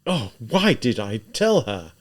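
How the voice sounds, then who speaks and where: A man voicing a tormented, spiteful young murderer in an audiobook, halting and emphatic as he reproaches himself. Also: medium pitch, animated, close to an everyday voice.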